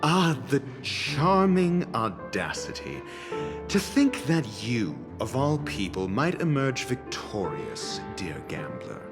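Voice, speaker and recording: A man's voice: mid-range voice, huge pitch swings, slow, exaggerated voice. A man playing a composed, haughty scholar in a video game, speaking formally with dry, skeptical condescension. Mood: amused, sardonic. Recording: game music under the voice. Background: game music.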